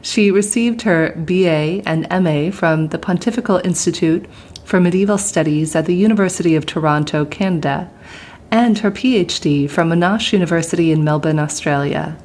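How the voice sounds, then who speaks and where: A woman's voice: fairly low pitch, normal speed, a little mellow. A woman hosting a podcast interview, introducing her guest in an enthusiastic, upbeat way. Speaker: podcast host.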